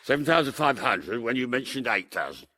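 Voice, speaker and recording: A man's voice: mid-range voice, sing-song, pushed and bright. An actor playing a scheming, murderous barber who poses as a genteel nobleman, with theatrical, formal speech in a period audio drama. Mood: smooth, haughty. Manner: theatrical, deliberate, ornate formal phrasing.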